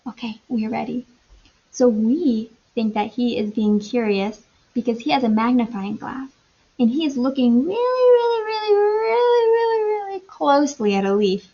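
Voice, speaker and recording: A woman teacher doing an animated read-aloud for young children, pausing to ask questions and explain in simple, repetitive wording. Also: on the high side, highs cut off.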